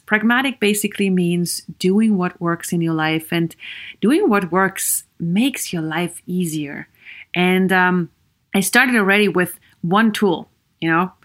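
A woman psychologist from Austria with a Viennese accent hosts her own podcast alone. She talks earnestly and encouragingly, asks her listeners many questions and fills pauses with "you know.". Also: mid-range voice, a bit bright. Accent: Viennese Austrian German. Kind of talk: solo podcast monologue.

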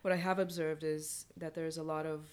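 A woman chatting in a casual panel discussion, tentative and measured in how she offers her view. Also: deep voice, flat delivery, darkish tone, clear, resonant tone.

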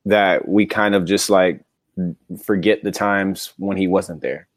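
A man's voice: sits low, steady tone, a little slow, no echo, clean studio sound. A man co-hosting a casual sports chat, talking in a laid-back, slangy, conversational way. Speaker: sports podcast co-host.

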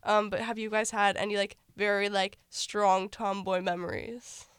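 A woman's voice: mid-range voice, little pitch movement, moderate pace, fairly bright tone, smooth, no echo, clean studio sound. A teenage girl co-hosting a casual podcast, stuffy from a cold, rambling through stories with lots of "like". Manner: rambling storyteller, heavy use of "like". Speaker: podcast co-host. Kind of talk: casual chat.

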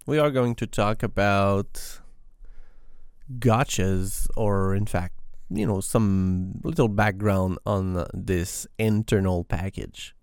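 Slow, smooth-voiced Québécois man. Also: fairly low pitch, darkish tone, no room sound, clean studio sound. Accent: Québécois French. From Quebec, Canada. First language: French. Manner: halting, many restarts and fillers. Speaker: podcast host. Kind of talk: solo tech talk.

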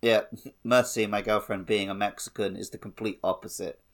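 A man's voice: fairly low pitch, fairly even intonation, normal speed. A man chatting casually and wryly as a gaming podcast co-host.

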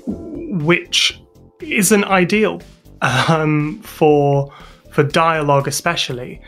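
British guy being interviewed, kinda halting, repeats words, mid pitched voice, music behind him. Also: a bit bright. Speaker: interview guest, audio drama sound designer and composer. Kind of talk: explanatory interview talk.

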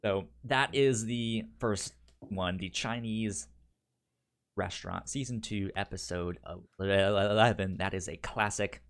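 A man co-hosting a podcast in casual, unscripted chat, with a stutter that repeats first syllables and a halting, explanatory way of talking. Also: on the low side. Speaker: podcast co-host.

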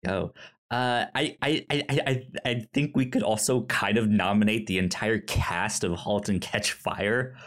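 A man co-hosting a casual review podcast, speaking emphatically with frequent stammered, halting starts. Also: on the low side, a bit bright. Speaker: podcast co-host.

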